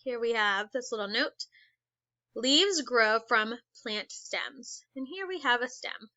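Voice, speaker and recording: Woman teacher, bright and a bit high, reads a lesson aloud slowly and asks kids questions; dry, slightly dull recording. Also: lively intonation, dry recording. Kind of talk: read-aloud lesson for children.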